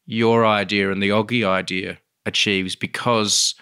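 A man co-hosting a podcast, talking in a measured, inquisitive casual chat. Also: on the low side, little pitch movement, pushed and bright, clean tone. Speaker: podcast co-host.

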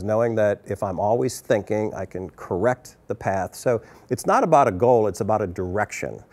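Man telling a story in a brewery taproom. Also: on the low side. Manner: animated storytelling, hand gestures. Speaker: brewery chief executive. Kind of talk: sit-down interview.

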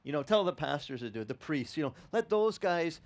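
Pastor in his fifties, slightly high-pitched man, lively off-the-cuff beach sermon on a headset mic. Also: a little fast. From Italian-American upbringing. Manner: animated, conversational preaching with restarts and asides to the audience. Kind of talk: outdoor sermon. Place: open-air beach tent service.

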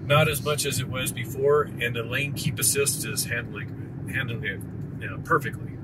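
A middle-aged man driving and explaining things as he goes in a casual, relaxed way, with plenty of fillers. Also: medium pitch, moderate pace. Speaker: vlog co-host and driver. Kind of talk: casual narration while driving. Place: inside a moving car. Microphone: camera mounted on the dashboard. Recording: loud road and wind noise in a car.

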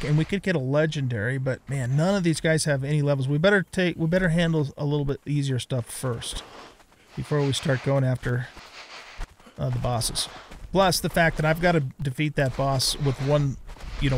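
Higher-pitched middle-aged man, rambling game commentary. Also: a little hiss or hum. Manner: rambling, thinking aloud. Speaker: let's play host. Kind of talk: casual gameplay commentary.

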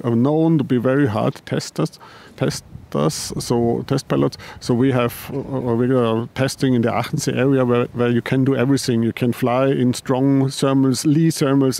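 A man who heads a paraglider company answering interview questions, halting, with frequent restarts and repeated words. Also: medium pitch, creaky, mellow. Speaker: paraglider designer and company head.

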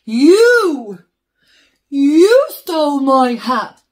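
A woman voicing a big, polite, forlorn bear, with earnest, drawn-out questions, in a children's picture book. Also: high voice, big pitch swings, exaggerated voice. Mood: outraged. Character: big polite forlorn bear searching for his lost hat.

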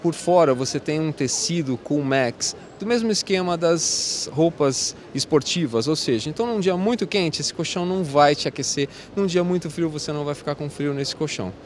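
A Brazilian man in his forties with a fairly high voice talks up his products like a salesman. He speaks into a handheld mic in a big indoor exhibition hall. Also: normal speed, hardly any pauses, a little hiss or hum. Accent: Brazilian Portuguese. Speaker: company representative. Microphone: host's handheld mic.

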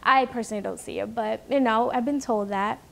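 Woman, young adult, New York accent, casual, lots of "like", bit high-pitched. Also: brisk, vocal fry, fairly bright tone. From Bangladesh. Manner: chatty, leans on "like". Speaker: interviewee. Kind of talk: casual documentary interview.

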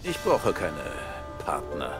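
Ein Mann spricht einen abweisenden Polizeidetektiv in der deutschen Synchronfassung eines Videospiels, gedämpft und tief. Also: male voice, deep voice, wildly swooping pitch, takes it slowly, heavily acted. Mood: gruff. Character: weary male police detective, curt. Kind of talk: German game dub. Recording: game cutscene over music.

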